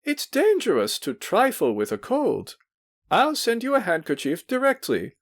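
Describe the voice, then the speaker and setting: A man's voice: sits high, wildly swooping pitch, measured pace, voice pushed up, full character voice. A man voicing a fussy older mother who drawls in a sing-song way. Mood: fussing.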